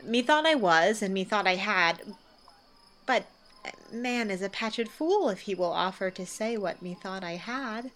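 A woman's voice: mid-range voice, expressive, unhurried, fairly bright tone, a little hiss or hum. A woman playing a boastful, exuberant working man and amateur actor, declaiming theatrically in a Shakespearean radio play. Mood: awed, musing.

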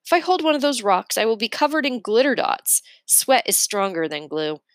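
A sweet, simple-hearted schoolboy from a children's novel, played by a woman reader. He speaks up high, earnest and plain, halting and easily flustered. The sound is dry and close. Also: sing-song, dry recording.

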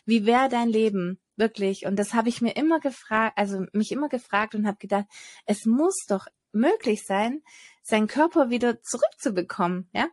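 A woman telling her personal story in a casual podcast chat, earnest and hesitant, pausing often on fillers. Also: on the high side, animated, darkish tone, no echo. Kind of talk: personal testimony in casual conversation.

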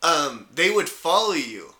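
A man's voice: fairly high pitch, big pitch swings, measured pace, bright, forceful tone. A man co-hosting a podcast with blunt, salty, joking anecdotes in casual banter. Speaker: podcast co-host.

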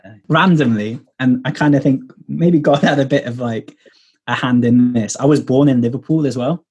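A man's voice: mid-range voice, moderate pace, darkish tone, slightly rough, lo-fi sound. A man chatting casually as a podcast guest, rambling with lots of fillers and restarts, heard over a video call. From Wales. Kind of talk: casual testimony chat.

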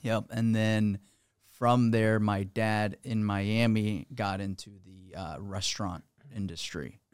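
Man in his 20s, from florida, podcast guest, low clear voice, dry close sound, laid-back storytelling. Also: slow, dry recording. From Florida, USA. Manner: relaxed, easygoing, storytelling. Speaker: guest entrepreneur. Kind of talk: casual interview chat. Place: podcast studio.

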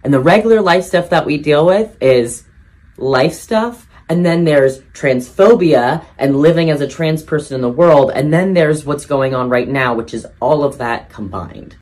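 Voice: medium pitch, measured pace, a little mellow. A person giving an earnest direct-to-camera appeal.

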